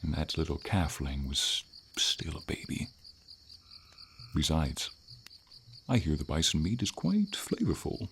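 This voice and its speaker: Man voicing a deep, gentle dragon. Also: sing-song, creaky, slight background noise, full character voice. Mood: earnest, gently defensive. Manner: unhurried, thoughtful, slightly formal speech with pauses. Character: friendly noble silver dragon, gentle and encouraging companion. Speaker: voice actor. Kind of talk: ASMR fantasy roleplay, slow intimate storytelling. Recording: ambient nature sounds, crickets, light rain.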